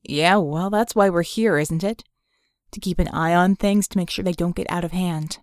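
A woman's voice: lowish voice, moderate pace, no room sound, studio quality, natural voice. A woman voicing a cool, assured, streetwise male operative in an audiobook, laid-back and matter-of-fact. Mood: pointed, calm. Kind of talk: audiobook character dialogue.

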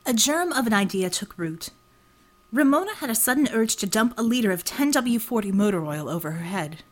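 A woman's voice: a little high, expressive, a little fast. A woman reading scripted fiction as an audiobook narrator.

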